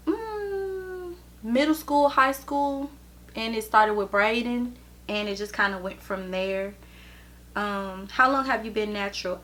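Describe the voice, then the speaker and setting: A woman in her thirties, from the American Midwest, answering viewer questions in a relaxed vlog with plenty of ums. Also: on the high side. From Chicago and Detroit, USA. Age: 30s. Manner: slow, easygoing, with many ums. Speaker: vlogger and hairstylist. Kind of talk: casual Q&A vlog.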